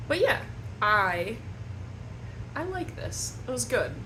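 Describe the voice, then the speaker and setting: A young woman from Wisconsin, slightly hoarse from a cold, chatting to camera at home in a rambling, self-deprecating way as a beauty vlogger. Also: a little high, sing-song, takes it slowly, pushed and bright, a little hiss or hum, poor audio. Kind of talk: casual chat to camera. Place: at home indoors.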